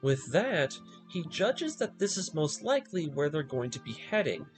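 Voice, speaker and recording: A man narrating a scripted story recap as a video essay host, with emphatic, dramatic delivery and pointed pauses. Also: on the high side, big pitch swings, over music.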